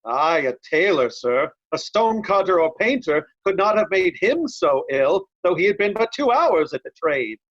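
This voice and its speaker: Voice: mid-range voice, sing-song, moderate pace, hardly any pauses, fairly bright tone, highs cut off. An actor playing a blunt, hot-tempered old loyalist in Shakespearean reader's theatre, scornful and emphatic, piling up insults. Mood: indignant, insulting.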